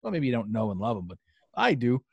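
A man hosting a podcast in a casual, wandering way, circling back on his own phrases. His voice sits at an ordinary middle pitch. The sound is dry, with no room echo. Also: sing-song, fast, creaky, a little mellow, husky, lo-fi sound. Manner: rambling, repeats himself, many fillers. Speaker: podcast host. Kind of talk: casual live podcast chat. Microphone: podcast mic on a live stream.

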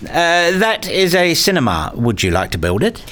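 Man acting weary family man, lively intonation. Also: fairly high pitch, moderate pace. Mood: gentle, slightly flustered. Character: weary, put-upon married academic father, wryly self-mocking. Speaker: narrator and lead actor in a radio play. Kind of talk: first-person narration and acted dialogue.

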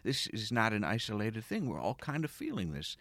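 A man from outside Philadelphia hosting a podcast interview, speaking in a slow, soothing, deliberate way. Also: lowish voice, wildly swooping pitch, fairly bright tone, dry recording. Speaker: podcast host.

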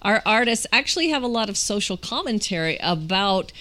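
A woman with a clean, smooth voice at a medium pitch talks as a podcast co-host. She explains casually and conversationally. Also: sing-song, brisk, pushed and bright.

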